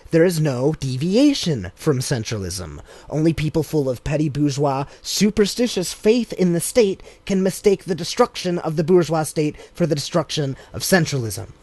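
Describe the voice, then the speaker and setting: A man reads a political text aloud for an audiobook, deliberate and emphatic, with a voice pitched a little above the usual for men. The recording is close and dry. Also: darkish tone, no room sound. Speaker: audiobook reader.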